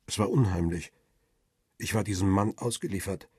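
Middle-aged narrator-hero of radio crime play: rough-edged lowish voice, wry, measured. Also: male voice, darkish tone, no echo, clean studio sound. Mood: wry, reflective. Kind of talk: first-person radio drama narration.

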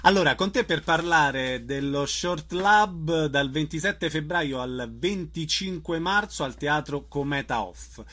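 A man's voice: a little high, unhurried, few pauses, sharp tone. A man with an Italian accent hosting a conversational radio interview with guests.

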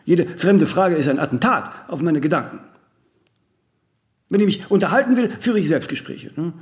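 An actor playing a vain, ageing celebrity in a radio play, imperious, aloof and rambling in aphorisms with little tag questions. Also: male voice, fairly high pitch, animated, moderate pace, natural voice. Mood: haughty, complaining. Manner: haughty, rambling, aphoristic, with clipped tag questions and self-corrections. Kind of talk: radio play monologue in dialogue.

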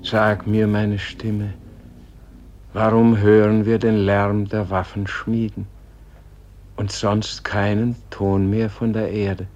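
Gentle old man in a radio play, deep-ish voice, really slow, solemn, lyrical, trails off hushed. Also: little pitch movement, dull audio. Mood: troubled, sorrowful. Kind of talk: German radio play acting, solemn and poetic.

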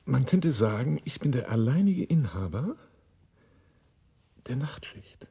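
An actor playing a sly, self-assured arms dealer in a German radio drama, with a suave, dryly ironic manner. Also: male voice, medium pitch, sing-song, measured pace, vocal fry, dark tone, husky, full character voice. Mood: smug.

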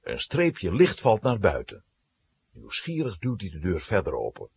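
A man's voice: deep voice, huge pitch swings, measured pace, gravelly, a little mellow, breathy edge. A man narrating a children's adventure story as a dramatic, scripted storyteller.

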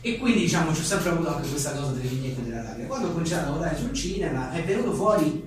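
A man's voice: fairly high pitch, expressive, very fast. A man being interviewed as an author, telling informal, digressive anecdotes in a wry way. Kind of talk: informal book talk, rambling anecdotes. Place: echoing indoor venue at a live book presentation. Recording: very echoey room, distant mic, faint noise.

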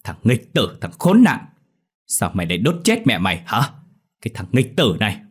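A man's voice: fairly low pitch, wildly swooping pitch, normal speed, husky, exaggerated voice. A man voicing a violent, hot-tempered father in a story, cursing and accusing explosively. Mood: furious.

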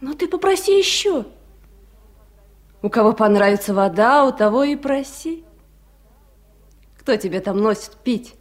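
An actress playing a caring mother who appears young in memory, gentle and solicitous, in a 1970s radio drama. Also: a little high, animated, a little slow, pauses often. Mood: concerned.

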